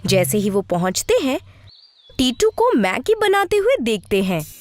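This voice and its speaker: Lively high-voiced woman storyteller. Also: measured pace. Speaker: narrator. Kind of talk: scripted children's story narration.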